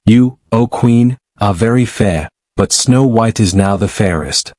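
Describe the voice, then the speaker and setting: A woman's voice: a bass voice, a little slow, warm tone, dry recording, studio quality, voice pushed down. A woman voicing a solemn, all-knowing magic mirror in a storybook, with formal pronouncements. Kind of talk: storybook character voice.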